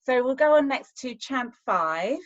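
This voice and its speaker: A woman's voice: sits high, animated, measured pace. Woman interviewer on a dull lo-fi line. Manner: appreciative, gentle questions. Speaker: interviewer host. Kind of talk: online Q&A interview. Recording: dull lo-fi sound.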